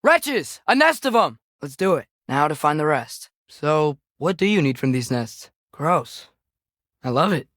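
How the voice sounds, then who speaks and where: A man's voice: a little high, big pitch swings, unhurried, fairly bright tone, no room sound, clean studio sound. A young male actor playing an earnest, curious, impulsive teenage boy in a Norse myth video game, speaking naturally with a hesitant, boyish manner. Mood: alarmed, urgent.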